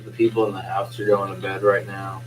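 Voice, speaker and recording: Young man, hoarse, talking softly, lowish voice, faint hum behind. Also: level tone, brisk, low-quality recording. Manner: quiet, subdued talk. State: losing his voice. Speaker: live streamer doing play-by-play. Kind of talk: casual live-stream sports commentary. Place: at home at night.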